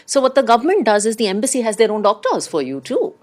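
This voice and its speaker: A middle-aged woman with an Indian accent and Urdu as her first language, telling personal stories conversationally as an interview guest in an animated, anecdotal way. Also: a little high, fast talker. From India, raised abroad in diplomatic postings. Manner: animated, anecdotal, acts out quoted remarks.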